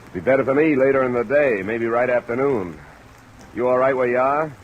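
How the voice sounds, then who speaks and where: An actor playing a cool, laconic insurance investigator who narrates an old radio detective drama, matter-of-fact in delivery. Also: male voice, mid-range voice, brisk, narrow, tinny audio, slight background noise, close to an everyday voice. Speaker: lead character and narrator.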